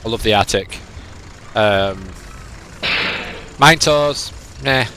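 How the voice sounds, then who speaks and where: A man's voice: on the low side, slow, pushed and bright, slight background noise. A young man from northern England with a Lancashire accent, streaming a ride simulator game and chatting casually with viewers, sounding a little nasal from a cold. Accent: northern English, Lancashire. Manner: chatty and rambling, reads chat aloud.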